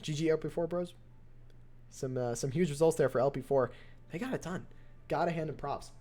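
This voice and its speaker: A young man with a voice going hoarse, calling a mobile strategy game match on his live stream. His play-by-play is excitable and builds to near shouts at close finishes. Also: fairly high pitch, a little fast. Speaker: esports caster and streamer.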